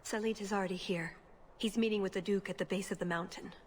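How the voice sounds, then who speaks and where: An actress playing an earnest, determined agent in a fantasy video game, serious and controlled. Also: female voice, medium pitch, fairly even intonation, fast talker, darkish tone, a little hiss or hum, lightly acted. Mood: earnest, urgent.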